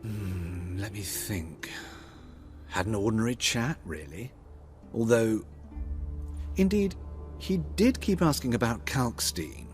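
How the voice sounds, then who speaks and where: Flamboyant male fantasy-game tailor: witty, coy, lowish voice with very lively intonation. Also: slow pace, long pauses, faint music behind. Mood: gossipy. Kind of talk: video game dialogue acting.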